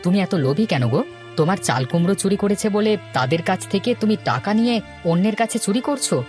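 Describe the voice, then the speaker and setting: A man voicing a sensible, plain-spoken village husband who gently admonishes, in a cartoon folk tale. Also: sits high, lively intonation, quick pace. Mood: disapproving. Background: music.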